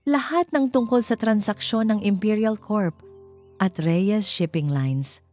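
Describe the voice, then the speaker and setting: A woman's voice: mid-range voice, unhurried, a little mellow, soft background music, pitched above the performer's own voice, close to an everyday voice. A woman voicing a troubled, determined male company heir in an audio story, weary but commanding and earnest. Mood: weary, resolute.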